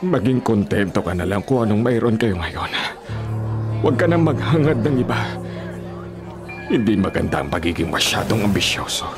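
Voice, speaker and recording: Radio drama villain: condescending older mayor, low-leaning and highly expressive, smugly genial. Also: male voice, normal speed, long pauses, music in the background. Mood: condescending, warning. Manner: smug, pointed, falsely genial. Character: scheming, condescending older male town mayor.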